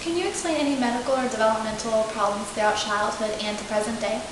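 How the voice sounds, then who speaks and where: A young woman acting as a student clinician, asking intake questions in a measured way with frequent "okay"s. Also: a little high. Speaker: student clinician interviewing a client. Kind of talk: scripted clinical interview. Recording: echoey room with steady hiss.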